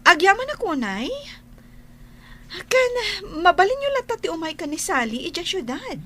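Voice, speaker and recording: An actress playing a gushing, sugary young bride-to-be in an Ilocano radio drama, with an Ilocano accent. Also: female voice, very high-pitched, sing-song, normal speed, fairly bright tone, exaggerated voice. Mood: sweetly smug. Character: Carla, gushing young bride-to-be boasting to her rival.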